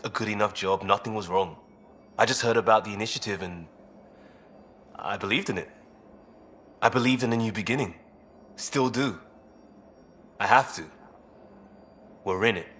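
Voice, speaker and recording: An actor plays a candid male crisis responder in a sci-fi video game. His voice is on the low side, casual and clipped. He sounds easygoing and blunt over faint ambient noise. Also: moderate pace, pauses often, a bit bright, close to an everyday voice. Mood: earnest.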